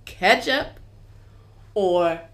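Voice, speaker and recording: A woman's voice: fairly low pitch, expressive, drawn-out words. A woman co-hosting a casual chat, playful and teasing, laughing often. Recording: echoey room.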